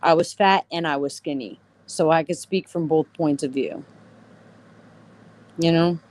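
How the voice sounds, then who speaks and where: A woman hosting a casual livestream chat, rambling with lots of fillers, her voice going a little hoarse. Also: sits low, measured pace, a little mellow. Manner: rambling, many fillers and "you know". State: voice getting hoarse. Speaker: livestream host.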